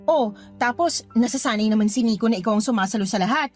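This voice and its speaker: A woman's voice: fairly high pitch, fast, soft background music, natural voice. A woman playing a blunt, protective cousin in a Tagalog radio drama, indignant and sassy on her friend's behalf. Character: indignant, protective female cousin egging on a confidante.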